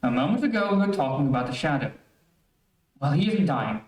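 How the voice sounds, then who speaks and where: A man's voice: a little high, sing-song, brisk. Earnest radio-drama doctor, roomy sound male actor. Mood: insistent. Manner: earnest, explanatory. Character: earnest, reasoning male doctor, a former escaped convict. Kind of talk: old-time radio mystery acting. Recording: roomy, distant amateur stream audio.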